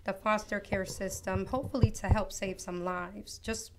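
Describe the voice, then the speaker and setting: A woman from Costa Rica, a guest author on an interview show, telling her life story in an earnest, reflective, unhurried way. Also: mid-range voice, steady tone, background noise. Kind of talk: personal testimony in an interview.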